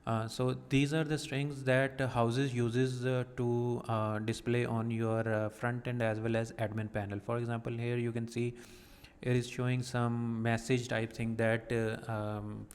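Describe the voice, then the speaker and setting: Man for a software walkthrough: slow, halting, methodical delivery with lots of pauses; flat, smooth voice with a long reverb tail. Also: medium pitch. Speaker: software tutorial presenter. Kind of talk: screen-recorded software walkthrough.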